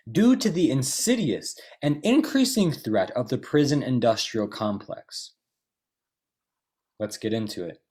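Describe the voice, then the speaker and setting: A man's voice: medium pitch, a little mellow. A man performing a theatrical political monologue in an earnest, emphatic, oratorical manner. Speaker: solo performer.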